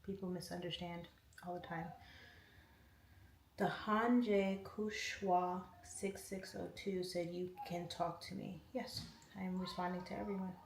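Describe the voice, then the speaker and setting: A woman's voice: lowish voice, flat delivery, unhurried. A woman hosting a casual livestream, reading out viewer comments and answering each one. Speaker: livestream host. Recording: echoey room, faint noise.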